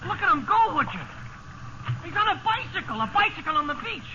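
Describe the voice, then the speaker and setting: A muffled vintage radio recording holds a fretful castaway sailor. The male voice is very high and animated, pitched for old-time drama. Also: a little fast, noisy background. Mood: excited. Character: excitable, fretful shipwrecked sailor. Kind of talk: 1950s radio drama acting.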